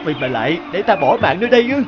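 An actor playing a proud, greedy, obsessive treasure collector in an animated fable, boastful and exclamatory. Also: male voice, high-pitched, very fast, highs cut off, noisy background. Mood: shaken, awed.

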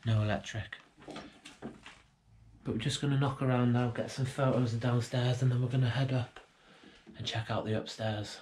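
A young British man quietly narrating as he walks through an abandoned house, musing and sometimes half-whispering, recorded on a handheld camera indoors. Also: medium pitch, fairly even intonation, moderate pace, pauses often. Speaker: urban explorer vlogger. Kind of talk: casual walkthrough commentary. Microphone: handheld action camera.

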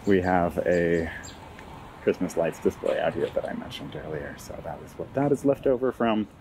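A man giving a casual walking tour outdoors in a city park, with relaxed, wry asides to viewers. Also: sits low, normal speed, slight background noise, low-quality recording. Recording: faint outdoor ambience.

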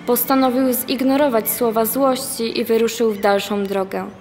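A woman's voice: on the high side, little pitch movement, moderate pace, a little mellow. A young woman reading scripted narration as the narrator of a radio play. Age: young adult. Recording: long reverb, music behind. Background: music.

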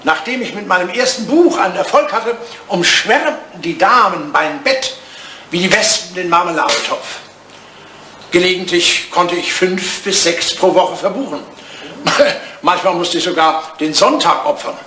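An actor playing an ageing English lord, fussy, wry and a little vain, in a retro radio comedy. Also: male voice, high-pitched, lively intonation, measured pace, bright, forceful tone, exaggerated voice. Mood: wistful, boastful. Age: older. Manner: fussy, hesitant, digressive. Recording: roomy, noisy vintage radio play recording.

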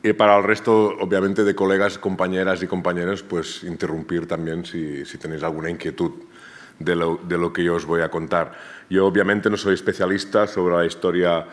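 A man with a Catalan accent giving an academic seminar talk in a university room, often restarting and repeating his words. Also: low-pitched. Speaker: academic speaker. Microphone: table microphone, far camera.

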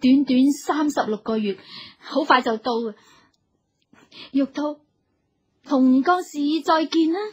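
An actress playing a caring, spirited sister-in-law in a radio drama, expressive and theatrical, with a Hong Kong Cantonese accent. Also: female voice, high-pitched, measured pace, pauses often, muffled recording. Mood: warm farewell.

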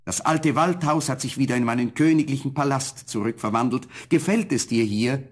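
Guy doing a young fairytale prince, courtly and tender, bit husky. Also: medium pitch, fairly quick, natural voice. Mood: solemn, happy. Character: young, noble, tender prince freed from a spell. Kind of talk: 1970s German fairy-tale audio play acting.

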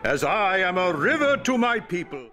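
Pompous, swooping, high-voiced ruler. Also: male voice, very animated, moderate pace, pushed and bright, full character voice. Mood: grandiose. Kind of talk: family audio adventure acting.

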